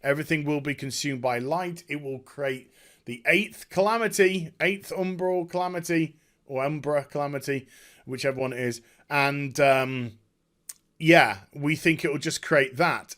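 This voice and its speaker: A man with a fairly high voice gives a casual, spoken recap for a gaming video. He is enthusiastic and emphatic, rambling along in halting bursts as he searches for the next phrase. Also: fairly bright tone.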